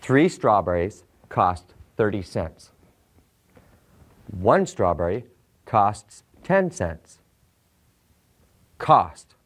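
An American man in his forties teaching English in a deliberate, enunciated way with simple scripted sentences. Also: fairly low pitch, very animated. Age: 40s. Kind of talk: slow scripted language lesson with simple modelled sentences. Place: small classroom set, indoors.

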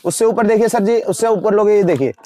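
A young man in a crowded cosmetics shop, rattling off wholesale prices per dozen and per piece in a rapid sales patter. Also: high-pitched, warm tone. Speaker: wholesale shopkeeper. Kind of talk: sales pitch to camera.

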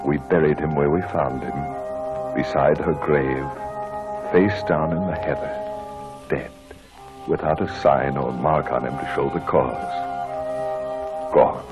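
Grave sad narrator man, super deep, slow, old radio play, music behind. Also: fairly even intonation. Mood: solemn, mournful. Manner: solemn, measured. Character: grave, mournful male storyteller recalling tragedy. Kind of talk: 1940s radio drama narration. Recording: vintage radio broadcast with music.

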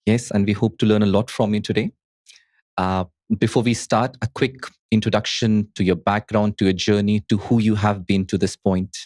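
A man's voice: lowish voice, steady tone, moderate pace, darkish tone. Podcast host man with a studio mic. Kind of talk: scripted intro, then interview questions. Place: studio with dark wall. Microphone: studio mic with headphones.

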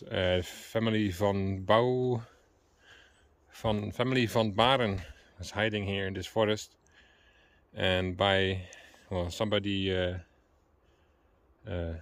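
A man whose first language is Dutch, chatting playfully while walking in a forest and searching for English words. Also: fairly low pitch. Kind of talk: casual chat. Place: outdoors in a forest.

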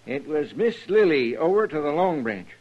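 An actor playing a fussy, talkative frontier railroad depot clerk in a 1950s radio drama. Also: male voice, a little high, very animated, normal speed. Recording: dull vintage radio transcription.

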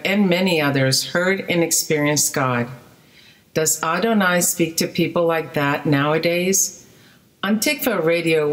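Woman, radio host, low voice, calm measured questions. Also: low-quality recording. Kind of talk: radio interview hosting.

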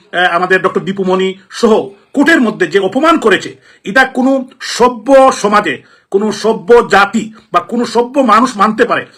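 A man with a high, sharp-edged voice and a Bangladeshi accent delivers an impassioned political address. He speaks forcefully and indignantly, hammering his points through repetition like an elected politician appealing to the public. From Sylhet, Bangladesh.

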